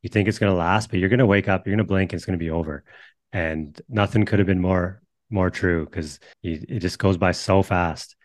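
A man hosting a podcast and coaching softball, talking in a relaxed, friendly way with his guest. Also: low voice, a little fast, no echo, studio quality. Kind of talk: casual interview chat.

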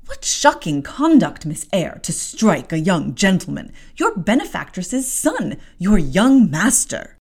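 A prim, disapproving lady's maid, high and loud with sharp indignation, voiced by a woman reading a novel aloud. Her pitch leaps about as she moralizes in a storybook reading. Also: very animated, normal speed, lightly acted. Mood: indignant. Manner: harsh, disapproving. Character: harsh, self-righteous older lady's maid.

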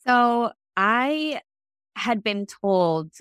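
Smooth-voiced woman in her thirties. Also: a little high, lively intonation, very slow, fairly bright tone, no echo, clean studio sound. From Bay Area, California. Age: 30s. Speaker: guest, donor-conceived sibling. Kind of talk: casual conversational interview.

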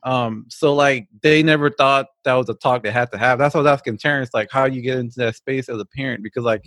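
Brief: man from Guyana, average pitch, relaxed podcast chat, dry mic. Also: fast, no room sound.

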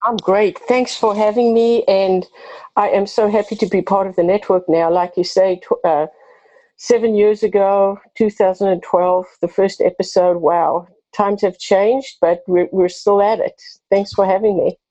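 A woman's voice: medium pitch, fairly even intonation, a little slow, warm tone, no echo, lo-fi sound. A woman in her fifties with a South African accent, a pharmacist answering interview questions earnestly and with passion. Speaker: pharmacist and podcast host.

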